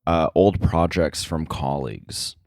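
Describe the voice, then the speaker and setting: Male interview guest, low register, loose conversational shop talk that stops and restarts, dry recording. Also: creaky, a little mellow, no room sound. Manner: halting, filler-heavy, circling back to rephrase. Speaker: interview guest, sound effects editor.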